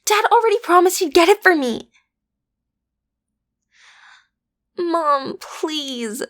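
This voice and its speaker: A woman's voice: very high voice, animated, measured pace, fairly bright tone, full character voice. A woman playing a cute, easily flustered teenage villain's daughter in an audio roleplay, stammering earnestly as she tries to seem intimidating. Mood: flustered, pleading.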